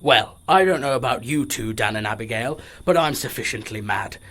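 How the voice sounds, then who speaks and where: A man's voice: medium pitch, lively intonation, moderate pace, noisy background, natural voice. A man playing a cocky, sardonic, self-appointed team leader in an audio drama, wry and self-assured. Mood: resolute.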